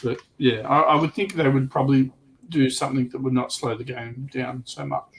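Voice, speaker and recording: Creaky man with faint background noise. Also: medium pitch, fairly even intonation, a little fast, rough recording. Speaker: livestream panelist. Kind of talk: casual chat.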